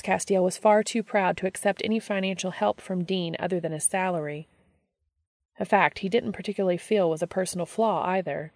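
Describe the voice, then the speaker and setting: A woman's voice: fairly low pitch, creaky, darkish tone, no room sound, clean studio sound. A woman reading a story aloud as scripted audiobook narration. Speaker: audiobook reader of fan fiction.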